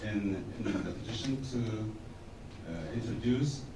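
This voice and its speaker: Low-voiced man in an echoey hall. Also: background noise. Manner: halting, frequent filler pauses and restarts. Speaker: engineer in mining technology. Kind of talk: workshop self-introduction. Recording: echoey conference hall, distant mic.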